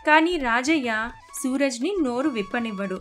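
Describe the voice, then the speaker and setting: A woman's voice: high-pitched, animated, moderate pace. A woman narrating a scripted story. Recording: constant background music. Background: music.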